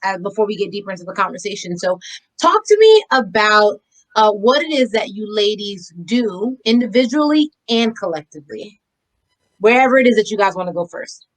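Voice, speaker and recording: A woman hosting a podcast interview, enthusiastic and warm toward her guests, with frequent "um"s. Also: medium pitch, lively intonation, brisk, low-quality recording. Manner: enthusiastic, many "um"s. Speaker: podcast host. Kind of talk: casual interview.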